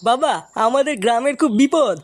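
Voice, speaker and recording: A performer voicing a bossy young male village club leader in a comic cartoon, brisk and commanding. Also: sits high, close to an everyday voice. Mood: pleading.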